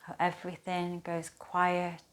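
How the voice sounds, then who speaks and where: A woman speaking as a yoga teacher, guiding a relaxation practice in a soothing, gentle, unhurried manner with pauses. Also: on the low side, completely flat delivery. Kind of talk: guided relaxation, yoga nidra instruction.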